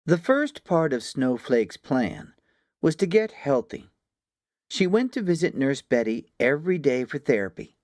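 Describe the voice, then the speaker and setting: A man narrating a children's story from a script, with expressive, deliberate phrasing. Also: medium pitch, no room sound, clean studio sound. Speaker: audiobook narrator.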